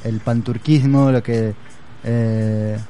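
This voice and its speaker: A man's voice: mid-range voice, steady tone, slow pace, warm tone, faint music behind. A man speaking in a casual conversation, hesitant and searching for words, with many fillers. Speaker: guest.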